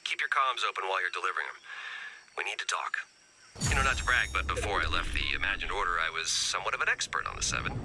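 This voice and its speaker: A man's voice: fairly low pitch, background noise. A man voicing a wary defector turned resistance ally in a video game, speaking in a conspiratorial, confiding way. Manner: conspiratorial, measured, hedging asides.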